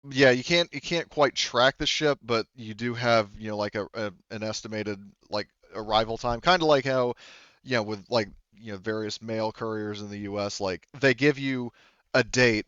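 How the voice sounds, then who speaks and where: A man game-mastering a tabletop roleplaying game in a casual, halting, rambling way, explaining as he goes. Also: lowish voice, fairly bright tone, clean tone, dry recording, dull audio.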